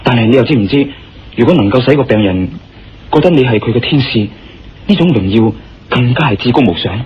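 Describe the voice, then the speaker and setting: A man with a Hong Kong Cantonese accent playing a confident, persuasive injured adventurer in a radio drama, smooth-talking and assertive. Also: mid-range voice, expressive, fairly quick, slight background noise, lightly acted. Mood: coaxing, earnest. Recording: dull lo-fi radio drama recording. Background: music.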